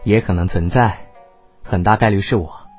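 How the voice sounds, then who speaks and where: A performer voicing a laid-back, teasing young man in love, casual and unhurried. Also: deep voice, husky, dull audio, soft background music. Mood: frank, resolved.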